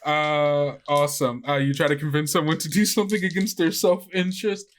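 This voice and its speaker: A man's voice: fairly high pitch, fairly even intonation, brisk. A man running a tabletop role-playing game, narrating the world and explaining the rules to players. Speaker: game master of an actual-play podcast.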